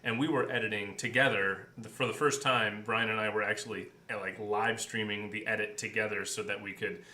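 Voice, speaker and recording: A man's voice: on the low side, fairly even intonation, a little fast, sharp tone. A man in a casual behind-the-scenes chat as a podcast co-host. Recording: roomy echo, clear background noise.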